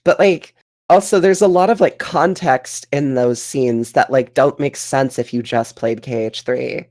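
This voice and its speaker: Unhurried, low-key woman chatting on a podcast. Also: dark tone. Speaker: podcast panelist. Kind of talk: casual group podcast chat.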